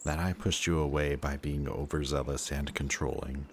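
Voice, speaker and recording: A man acts out a reformed antihero with an extremely low voice, talking closely to a best friend in an audio drama. He is remorseful, affectionate and emotionally open, with a hesitant conversational flow. Noticeable ambient noise sits behind him. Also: steady tone, normal speed. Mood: remorseful, sincere. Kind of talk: intimate audio roleplay acting addressed to the listener.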